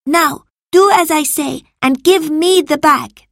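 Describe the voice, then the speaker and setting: Woman playing a bossy, high-pitched angry boy master. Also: takes it slowly, gravelly, no room sound, clean studio sound, exaggerated voice. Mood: angry, commanding. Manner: commanding, stern, emphatic.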